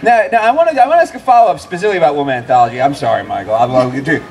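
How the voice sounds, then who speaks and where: Male panel moderator, higher voice, very lively and jokey, outdoor stage mic. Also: rapid-fire. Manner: joking, playful interjections. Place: outdoor book fair stage in a park. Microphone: handheld microphone.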